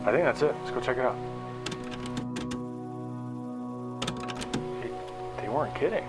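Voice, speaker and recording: A man acts a frustrated mechanic in a sci-fi audio drama. He has a middle-pitched voice and a casual, argumentative, naturalistic way of talking. Loud music plays behind him. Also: expressive, quick pace, close to an everyday voice. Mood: hushed curiosity. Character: frustrated young-ish male mechanic hurt by estranged best friend.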